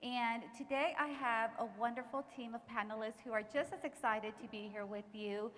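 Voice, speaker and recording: An upbeat woman moderating a live panel, her voice slightly high. She is encouraging and restarts a sentence now and then. A long hall reverb and faint background noise surround her. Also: a bit bright. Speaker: event moderator, recruiter.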